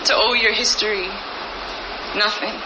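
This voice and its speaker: A young woman performing spoken-word poetry with an intense, measured, cutting delivery, from a played clip. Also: mid-range voice. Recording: played clip with steady background noise.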